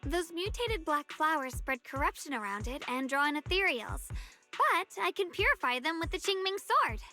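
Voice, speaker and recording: A woman's voice: sits high, big pitch swings, moderate pace, pushed and bright, faint music behind, heavily acted. A woman voicing an earnest, trusting young woman in a game, speaking eagerly and a little flustered.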